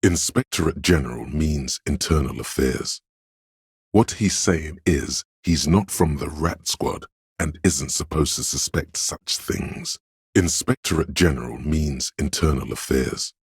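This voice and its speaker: Super deep husky know-it-all encyclopedia voice for a game, grave, detached, measured. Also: male voice, dry recording, studio quality, heavily acted. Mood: dry, informative. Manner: measured, erudite, deliberate. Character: inner encyclopedia voice, grave, learned, detached male narrator of facts. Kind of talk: scripted game narration.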